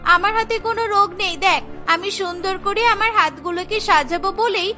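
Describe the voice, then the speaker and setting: A woman's voice: extremely high pitch, normal speed, music in the background. A woman voicing a crafty, indignant teenage schoolgirl in a cartoon.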